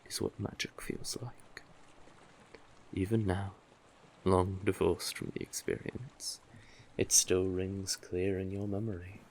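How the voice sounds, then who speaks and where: A man acting an older male sea witch, very slow delivery, deep voice, doting, coaxing, intimate fantasy audio drama. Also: darkish tone, full character voice. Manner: soothing, coaxing, doting, deliberate. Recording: clearly noisy, sea-cave ambience effects.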